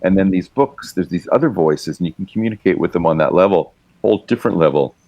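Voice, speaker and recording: A man's voice: deep voice, quick pace, a little mellow, no echo. A middle-aged man from Canada, a podcast guest who tells rambling stories with lots of restarts and laughs, swearing now and then. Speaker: podcast guest, writer and musician. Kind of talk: casual chat, storytelling.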